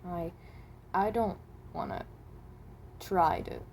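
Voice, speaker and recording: A woman's voice: lowish voice, lively intonation, takes it slowly, creaky, a little mellow, slight background noise, exaggerated voice. A woman playing a guarded, awkward animatronic possessed by someone who died at seventeen, in a hesitant, rambling amateur monologue. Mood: reluctant, guarded. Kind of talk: amateur character voice acting, improvised monologue.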